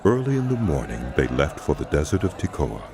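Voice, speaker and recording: A man narrating scripture as a scripted drama, telling the story in a solemn, measured way. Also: rumbling low, very animated, a crowd in the background.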